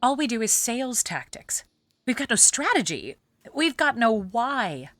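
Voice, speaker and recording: An actress playing a sharp, skeptical saleswoman in a theatrical audio musical, dismissive and challenging in manner. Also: a little high, big pitch swings, unhurried, no room sound. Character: sharp, skeptical saleswoman sparring with a marketing colleague. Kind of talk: theatrical musical acting, spoken and sung.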